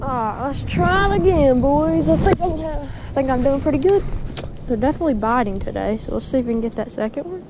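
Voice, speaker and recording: A man's voice: very high voice, lively intonation, a little fast. A young man, chatting casually to viewers while fishing at a pond, calling them guys and dude. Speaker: fishing vlogger. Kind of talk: casual vlog commentary. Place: outdoors by a windy pond. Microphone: body-mounted action camera. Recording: wind noise outdoors.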